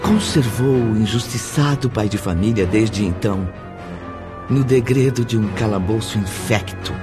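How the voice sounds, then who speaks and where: A man narrating a drama from a script in a solemn, measured way. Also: fairly low pitch, over music.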